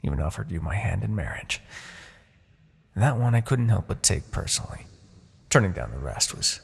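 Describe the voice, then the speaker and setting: Man voicing a low, husky, smug demon king. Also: sing-song, heavily acted. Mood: wounded, proud. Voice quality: gravelly, breathy. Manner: theatrical, taunting, drawn-out phrasing with soft asides. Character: smug, seductive male Demon King, mocking and possessive. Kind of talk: ASMR fantasy roleplay.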